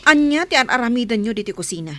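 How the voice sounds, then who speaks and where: A woman's voice: a little high, animated, fast talker, sharp tone, slight background noise. A woman with an Ilocano accent playing a nosy, reproving office accountant in a comedy radio drama. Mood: indignant. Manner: questioning, reproving. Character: stern nosy female office accountant, a superior.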